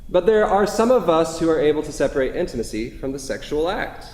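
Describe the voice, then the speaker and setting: Echoey, animated, pedantic intellectual man played by an actor. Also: a little high, fairly quick, natural voice. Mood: pedantic, defensive. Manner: long-winded, hedging, academic. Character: long-winded, earnest, pedantic male intellectual lover. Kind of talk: staged play reading before a live audience. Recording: echoey hall, noisy, distant reverb.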